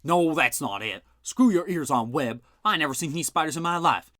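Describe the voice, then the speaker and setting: A man voicing a gruff, blustering older male inventor who talks loud and boastful. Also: a little high, expressive, moderate pace, dry recording, studio quality, pitched above the performer's own voice.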